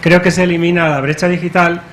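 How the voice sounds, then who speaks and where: A man's voice: sits high, little pitch movement, quick pace, fairly bright tone. A man in the audience at a symposium who interjects apologetically with a question. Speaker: audience member. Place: conference hall. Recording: clicks and clear noise behind.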